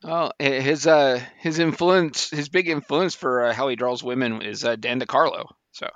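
A man co-hosting a podcast, offering casual trivia commentary in a halting, explanatory way. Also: mid-range voice, a bit bright, dry recording. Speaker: podcast co-host.

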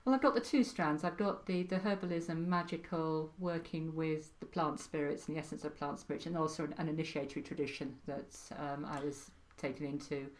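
A middle-aged woman from Wales speaks as a podcast guest, her voice sitting very low for a woman. She talks in a thoughtful, wry manner, pausing and picking her words as she goes. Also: fairly even intonation. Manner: thoughtful, wry, with frequent pauses and fillers. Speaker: podcast guest, practising witch. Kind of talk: casual interview chat.

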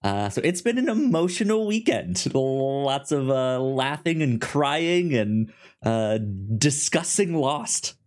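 A man co-hosting a movie podcast in a casual, chatty way, quick to laugh. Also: on the high side, expressive. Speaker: podcast co-host.

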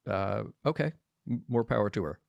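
A man's voice: fairly low pitch, expressive, normal speed, vocal fry, dry recording. A man from Alabama with an American accent, talking thoughtfully and discursively in a casual podcast discussion. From Birmingham, Alabama, United States. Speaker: podcast guest.

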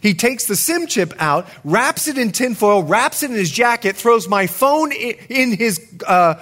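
Animated Bahamian preacher man. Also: very high-pitched, measured pace. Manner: animated, dramatic, acts out scenes. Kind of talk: sermon storytelling.

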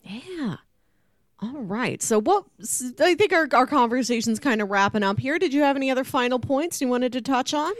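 A woman's voice: high-pitched, animated, moderate pace, no room sound. A woman hosting a podcast, chatting casually and informally in a musing way about books. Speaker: podcast host. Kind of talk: casual book discussion chat.

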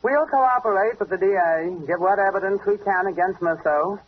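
An actress playing a tough, businesslike lawyer with a clipped manner in 1940s radio drama style. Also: female voice, mid-range voice, normal speed, lightly acted. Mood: guarded. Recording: dull hissy old radio recording.

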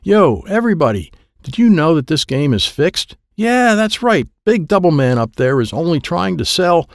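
A man's voice: mid-range voice, sing-song, normal speed, vocal fry, a little mellow, no echo, clean studio sound. A man voicing a harried father of a young boy, urgent and exclamatory, in audiobook dialogue. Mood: defiant.

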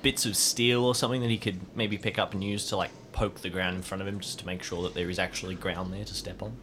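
A man's voice: deep voice, little pitch movement, fast. A man chatting casually as a player in an improvised role-playing game, with a dry, wry manner. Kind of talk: casual tabletop role-play chat. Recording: faint background noise and ambience.

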